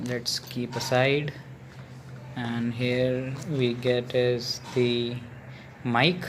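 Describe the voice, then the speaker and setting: Indian-accented man over clear background noise. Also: medium pitch, little pitch movement, drawn-out words. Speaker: video host, product reviewer. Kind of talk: casual unboxing narration.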